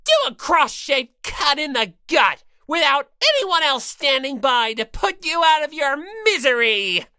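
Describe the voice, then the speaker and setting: A woman's voice: high-pitched, expressive, measured pace, pushed and bright, no room sound, studio quality, full character voice. A woman voicing a gleefully cruel, bossy male robot bear mascot, taunting and theatrical, for an audiobook. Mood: scornful, bossy. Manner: theatrical, taunting, mock-polite then bellowing. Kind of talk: audiobook character voice.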